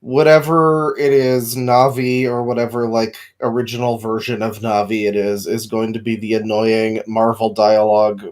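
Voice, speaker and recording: Casual man in relaxed group banter. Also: fairly low pitch, moderate pace, few pauses. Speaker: podcast panelist. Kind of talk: casual group chat about video game movies.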